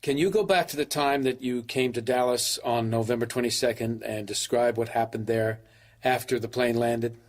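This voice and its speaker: A man playing a methodical commission lawyer who questions a witness formally and deliberately in an audio drama. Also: mid-range voice, steady tone, natural voice. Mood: formal, probing.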